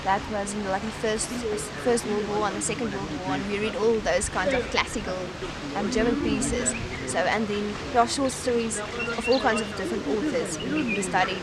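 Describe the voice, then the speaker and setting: A young South African woman with an Afrikaans-speaking background and a South African accent, talking in a relaxed, thoughtful way with frequent pauses and restarts while she is interviewed outdoors on a campus. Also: mid-range voice, over a noisy crowd. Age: young adult. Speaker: university student. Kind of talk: casual interview. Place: outdoors in a sunny campus garden.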